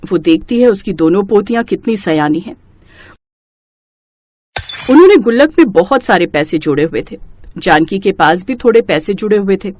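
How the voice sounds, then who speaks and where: A woman's voice: medium pitch, a little mellow, dry recording. A woman reading scripted storybook narration as the narrator.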